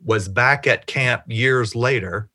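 A middle-aged man from the southern United States on a video call from his home office, telling anecdotes with expressive, deliberate emphasis. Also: medium pitch, no echo, studio quality. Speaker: storyteller, interview guest. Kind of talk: anecdotal storytelling in conversation. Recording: video call with music behind.

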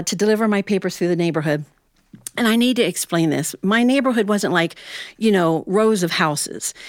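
An American woman telling a humorous personal tale as a storyteller, in a folksy, animated manner with dramatic pauses. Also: medium pitch, dry recording, studio quality. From Ohio, United States.

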